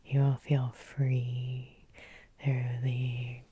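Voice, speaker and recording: A woman leading an ASMR guided hypnosis in a breathy whisper, soothing and repetitive, with lingering pauses. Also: extremely low pitch, monotone, mellow. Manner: slow, soothing, repeating phrases with lingering pauses. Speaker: hypnotherapist and Reiki healer leading a guided meditation.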